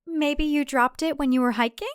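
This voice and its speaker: A woman voicing a brainwashed, robotically cheerful tradwife in a cult thriller audiobook, with a perky over-bright delivery. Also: high-pitched, normal speed, no echo, clean studio sound, voice pushed up, lightly acted. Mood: chipper. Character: brainwashed chipper young tradwife cult member, eerily cheerful.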